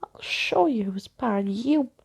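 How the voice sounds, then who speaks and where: A woman storyteller puts on an impish little ghost girl from a children's storybook. She is sneering, bossy and brash, with a breathy, crackly edge to her voice. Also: a little high, lively intonation, slow pace, a little mellow, exaggerated voice. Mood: sneering, defiant.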